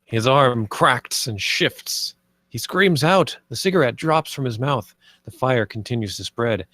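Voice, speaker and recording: Male narrator for screenplay directions, medium pitch, close dry sound, scripted delivery. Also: expressive, measured pace, fairly bright tone, no room sound. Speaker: narrator reading stage directions.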